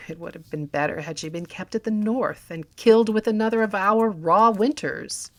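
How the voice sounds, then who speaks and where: A woman's voice: mid-range voice, moderate pace, lightly acted. A woman reader voicing a scheming, bitterly jealous wife in an old storybook legend, with melodramatic intensity. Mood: bitter, resentful. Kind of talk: storybook character acting.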